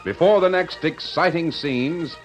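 A man's voice: on the high side, a little slow. A man narrating as announcer of an old-time radio drama in a dramatic, scripted style. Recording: muffled vintage radio recording. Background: loud dramatic music.

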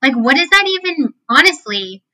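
A woman in her thirties with a squeaky, nasal voice, chatting animatedly as an interview guest and using a lot of filler words. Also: normal speed. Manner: animated, talks with many fillers and acted-out quotes. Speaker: guest, fitness scientist. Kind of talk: casual interview chat.

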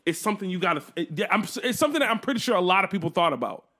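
A man co-hosting a podcast, talking in a casual, animated and profane way as he tells a story. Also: high-pitched, racing. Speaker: podcast co-host. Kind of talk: casual chat.

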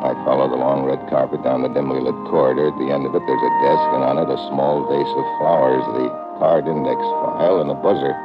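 A hard-boiled agent speaks in a very deep voice with clipped, wry delivery. It is vintage radio drama, muffled, with music clearly behind the voice. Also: male voice, flat delivery, fast talker, hardly any pauses. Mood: tense narration. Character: tough wry American secret agent narrating his own case. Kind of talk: old-time radio drama narration and acting.

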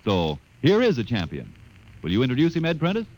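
A man's voice: medium pitch, wildly swooping pitch, fairly quick, darkish tone, low-quality recording. A man announces in an old-time radio ad, folksy and upbeat. His voice swings up and down strongly as he sells. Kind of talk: vintage radio ad read.

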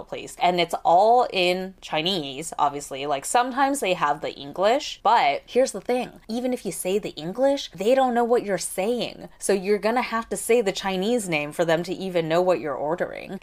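A woman hosting a podcast, telling a story in casual chat, candid and expressive, swearing freely and acting out what people said to her. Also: fairly low pitch. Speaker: podcast host.

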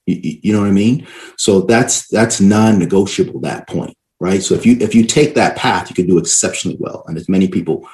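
A man's voice: deep voice, fairly quick, darkish tone. A man explaining his points in an interview, emphatic and often checking in with short tag questions. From Barbados and New York City. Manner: emphatic explainer, frequently tags points with "right?". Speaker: interview guest, education and economics expert.

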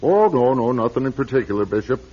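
Man playing a weary old priest, echoing, swooping pitch. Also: big pitch swings, fast talker, dark tone, natural voice. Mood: guarded, wry. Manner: muttering to himself, exclaiming, evasive. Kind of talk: old-time radio drama acting. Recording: dull, noisy radio recording in echoing hall.

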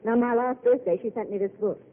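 An actress playing an earnest, outspoken young waitress with big dreams, heard on a tinny old radio broadcast. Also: female voice, a little high, steady tone, quick pace, natural voice. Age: young adult. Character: dreamy, earnest young woman at a desert diner. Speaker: diner waitress. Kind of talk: 1930s radio drama acting.

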